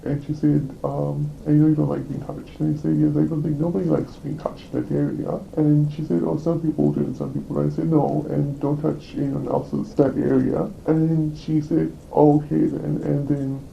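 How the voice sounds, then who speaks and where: A man speaks haltingly, stopping often and restarting his sentences, in a heavily gravelly voice pitched a little high. The recording sounds dull and lo-fi, with faint noise behind him. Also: little pitch movement. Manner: halting, hesitant, with many fillers and restarts. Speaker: employee reporting a complaint. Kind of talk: recorded workplace meeting.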